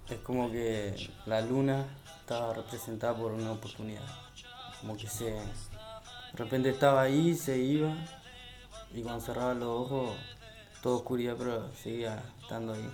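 An Argentine man chats casually on the radio in a gravelly, monotone voice. He sounds mellow, and music plays behind him. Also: medium pitch, moderate pace. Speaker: radio show participant.

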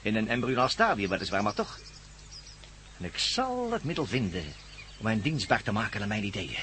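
A man's voice: fairly low pitch, big pitch swings, moderate pace, a bit bright, slight background noise. An actor playing a megalomaniac villain in a radio drama, menacing, pompous and theatrical, gloating over his schemes. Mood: grandiose, fanatical. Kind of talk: Dutch radio drama acting.

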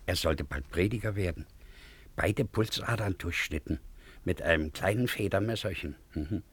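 An actor playing a gossipy innkeeper in a German radio play, condescending to those beneath him. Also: male voice, deep voice, normal speed, husky. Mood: confiding.

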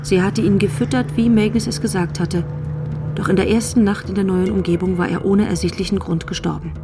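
A woman's voice: medium pitch, steady tone, a little fast, music in the background. A woman narrating a scripted radio drama in the third person.

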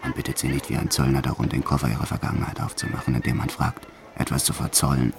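An actor playing a brutal, proud French gangster who tells his life in measured, sombre radio play narration. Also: extremely low pitch, flat delivery, creaky, very noisy. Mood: reflective, tender.

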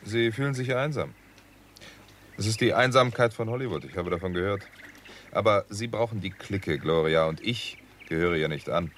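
Actor as a low-voiced, cool, reserved doctor-sleuth. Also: male voice, normal speed, fairly bright tone, a little hiss or hum, close to an everyday voice. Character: cool, decent, reserved male doctor turned amateur sleuth. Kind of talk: 1960s German radio crime drama acting.

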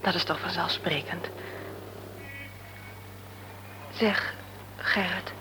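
A woman playing a candid, soft-spoken visitor. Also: on the low side, fairly even intonation, unhurried, background noise, natural voice. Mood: confiding. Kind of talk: radio play acting.

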